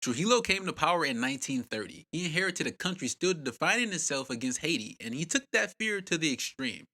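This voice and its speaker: A man narrates a scripted history documentary with a bright, ringing tone. His delivery is punchy and dramatic, full of rhetorical questions and short emphatic lines. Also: a little high, expressive, fairly quick. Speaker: host and narrator.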